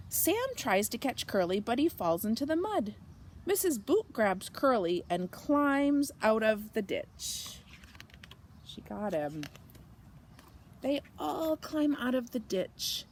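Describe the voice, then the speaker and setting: A woman reading a picture book aloud to children, slow and expressive, talking to her young listeners. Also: fairly high pitch, a little hiss or hum. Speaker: children's storyteller.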